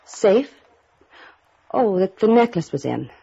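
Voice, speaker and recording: A woman's voice: medium pitch, very animated, slow pace, vocal fry, warm tone, slight background noise, heavily acted. An actress playing a guarded, upper-class young woman with cool, defensive retorts in a 1950s radio drama. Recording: muffled old radio recording.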